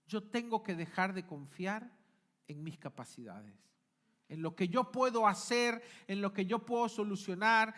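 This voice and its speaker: A male pastor, preaching a sermon emphatically, swinging between forceful peaks and quiet asides. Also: high-pitched, expressive, a little slow. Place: church.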